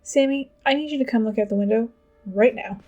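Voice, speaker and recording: A woman playing a composed, decisive teenage schoolgirl in an audio drama, speaking in a matter-of-fact, deadpan way. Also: fairly high pitch, fairly quick, muffled recording, faint music behind, lightly acted. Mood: calmly urgent. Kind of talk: audio drama, understated dialogue.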